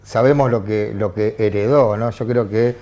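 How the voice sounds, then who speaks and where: A man with an Argentine accent, a journalist guest on a TV political panel who talks in halting phrases with frequent restarts and fillers. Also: lowish voice, fairly even intonation, dark tone, muffled recording. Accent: Argentine Spanish. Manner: hesitant, many restarts and fillers. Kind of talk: TV studio political discussion. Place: TV studio.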